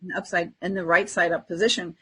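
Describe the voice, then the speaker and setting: Husky-voiced woman chatting casually. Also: on the low side, level tone, quick pace, a bit bright. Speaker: podcast co-host. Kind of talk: casual spiritual chat.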